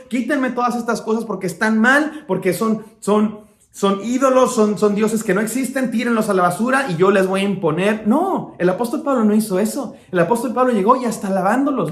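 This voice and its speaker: A man from Mexico with a Mexican Spanish accent, preaching an emphatic sermon as pastor. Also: high voice. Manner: emphatic preaching, acts out quoted speakers. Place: church hall. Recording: echoey church hall.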